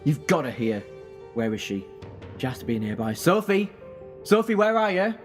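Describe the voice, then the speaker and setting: A man's voice: on the high side, sing-song, normal speed. A man acting in an audio drama as an intense, protective, heroic time-travelling alien. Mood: angry, threatening. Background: music.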